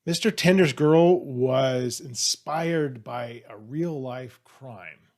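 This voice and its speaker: A man's voice: medium pitch, slow, a little mellow. A man speaking casually and off the cuff as an author presenting a trivia question. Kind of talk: casual, off-the-cuff talk to camera.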